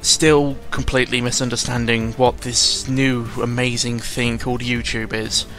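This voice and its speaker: A young man giving casual, unscripted commentary in a rambling, halting way, often interrupting himself, with a sarcastic, self-deprecating and exasperated manner. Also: mid-range voice, level tone, fairly bright tone. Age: young adult. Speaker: YouTuber recording an audio log. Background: background music.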